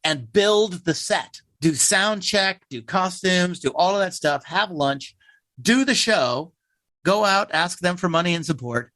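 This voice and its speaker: A man's voice: high voice, animated, a little slow, bright, forceful tone, no room sound. A man who works as a playwright in a theater troupe, explaining things casually in an interview.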